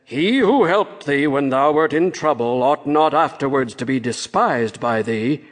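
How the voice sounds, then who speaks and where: A man's voice: mid-range voice, animated, unhurried, natural voice. A man voicing a stern, fatherly king in a storybook, formal and commanding, with long reverb. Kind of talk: storybook character voice.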